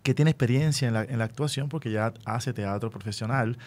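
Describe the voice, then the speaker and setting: Puerto Rican man hosting radio, deliberate delivery. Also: medium pitch. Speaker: radio host and school educator. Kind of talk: unscripted radio interview.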